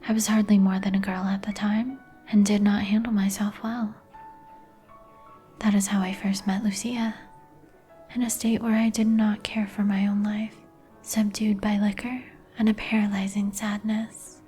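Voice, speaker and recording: Casting: actress for somber vampire gentlewoman, whispered and even-toned, courteous antique diction, ASMR roleplay over music. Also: mid-range voice, moderate pace, natural voice. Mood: sorrowful. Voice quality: whispered, breathy. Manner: formal, old-fashioned, somber phrasing. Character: melancholy, courteous vampire woman, turned young some 150 years ago. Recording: music behind the voice.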